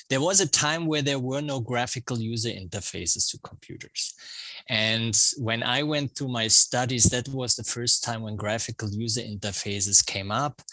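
A man's voice: mid-range voice, bright, forceful tone, dry recording. A man in his fifties with a German accent, a podcast guest talking through his career in a reflective, thinking-aloud way. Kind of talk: casual conversational interview.

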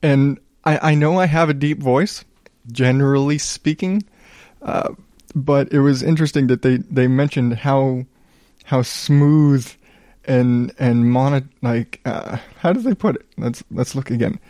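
A man in his thirties hosting his own podcast, rambling casually in a calm, smooth voice and poking fun at himself. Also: mid-range voice, unhurried, no room sound, studio quality. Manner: rambling, self-deprecating, reads reviews aloud. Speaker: podcast host. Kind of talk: casual solo podcast monologue.

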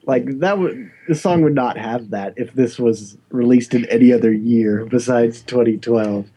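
A man's voice: mid-range voice, normal speed, warm tone, breathy edge, poor audio. A man making laid-back remarks in a casual conversation. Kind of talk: casual chat.

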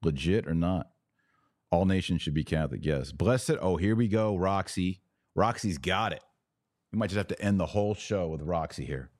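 A man's voice: low voice, normal speed, a little mellow, no room sound, studio quality. A man hosting a live religious commentary stream, reading out comments and answering them in an emphatic, preachy way with short repeated declarations. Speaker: podcast host.

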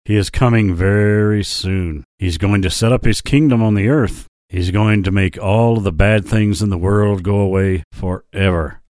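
A man voicing a gentle but serious lion messenger in a children's story, preaching earnestly. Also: fairly low pitch, normal speed, few pauses, no echo, studio quality, natural voice.

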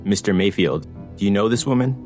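A man's voice: on the low side, animated, a little fast, a little mellow, faint music behind, natural voice. An actor playing a suspicious male police officer who asks pointed questions.

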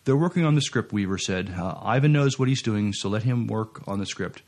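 A man co-hosting a casual podcast, talking in a halting, hesitant way. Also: on the low side, darkish tone. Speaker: podcast co-host.

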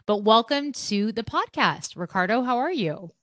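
A woman's voice: a little high, lively intonation, normal speed, a bit bright, dry recording, studio quality. A woman hosting a podcast in an upbeat, conversational presenting style. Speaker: podcast host.

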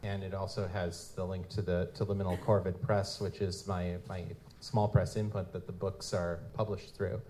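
A man's voice: deep voice, little pitch movement, moderate pace, few pauses, darkish tone. A man speaking casually as host and producer, answering questions at a live event. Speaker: host, audio drama producer and writer. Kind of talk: casual Q&A talk with an audience. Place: convention room at a live event. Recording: live event room with background noise.

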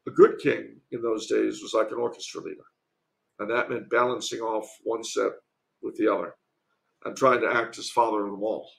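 Male podcast guest, heavy vocal fry, erudite and discursive, halting as he explains history in conversation. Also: on the low side, flat delivery, a bit bright, poor audio. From United States. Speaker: podcast guest, author and commentator.

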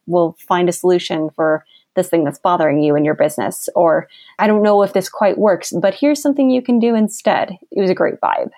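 A woman's voice: fairly low pitch, a little mellow, no echo. A young woman guest on a podcast interview, talking in a bubbly, enthusiastic way and laughing often. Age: young adult. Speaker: guest copywriter.

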